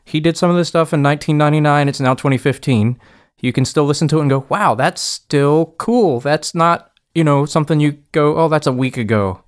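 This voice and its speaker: A man's voice: fairly high pitch, a little fast. A man chatting casually as a podcast guest and audio producer, thinking aloud in an informal way. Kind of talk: casual chat.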